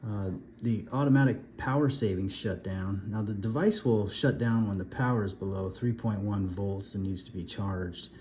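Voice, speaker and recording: A man with a fairly low voice talks through a product review in a casual, plainspoken way. He instructs step by step, as in a hands-on demonstration. There is some background noise in the amateur recording. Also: moderate pace, few pauses, a little mellow.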